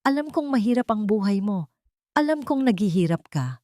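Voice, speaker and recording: A woman voicing a wealthy elderly mother in a radio drama, gentle in manner with a hidden coldness. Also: medium pitch, big pitch swings, moderate pace, no room sound, studio quality, voice pushed up, natural voice. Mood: falsely sweet. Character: wealthy elderly mother, sweet-talking but coldly scheming. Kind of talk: Filipino radio drama acting.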